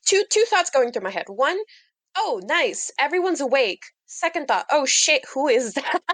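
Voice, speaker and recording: A woman's voice: sits high, very animated, moderate pace, a bit bright. A woman playing a tabletop roleplaying game, improvising casually and thinking aloud in a hesitant, informal way.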